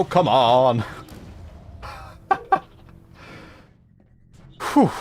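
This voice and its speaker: A man streams a video game and chats casually as he goes. His voice sits at an ordinary middle pitch, and his manner is self-deprecating and apologetic. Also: vocal fry. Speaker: livestreamer, VTuber. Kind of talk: casual livestream chat while playing a game.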